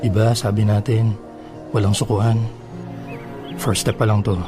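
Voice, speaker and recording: A man playing a devoted, reassuring boyfriend in a radio drama, coaxing gently with a Filipino accent. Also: lowish voice, little pitch movement, unhurried, music in the background, lightly acted. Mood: tender, reassuring.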